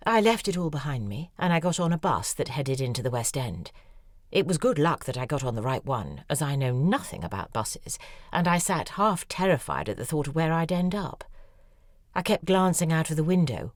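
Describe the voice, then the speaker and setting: Low-voiced lady as a needling novelist, swoops all over, dry close sound. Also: a little mellow, dry recording, heavily acted. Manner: wry, needling, self-mocking storytelling. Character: sharp-tongued woman novelist reliving her past. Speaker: lead character in audio drama. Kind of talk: dramatic monologue, audio drama acting.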